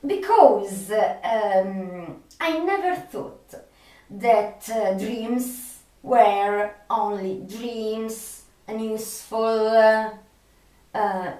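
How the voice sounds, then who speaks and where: A woman who is not a native English speaker, talking unscripted to viewers as a video host and searching haltingly for her words. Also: fairly high pitch, mellow. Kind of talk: unscripted talk to viewers about a favorite film. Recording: roomy echo, distant microphone.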